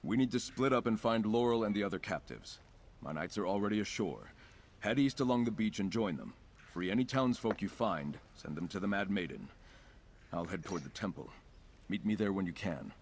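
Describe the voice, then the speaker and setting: Low, resolute knight commander. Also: male voice, animated, fairly quick, a little hiss or hum. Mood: resolute, urgent. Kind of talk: video game dialogue acting.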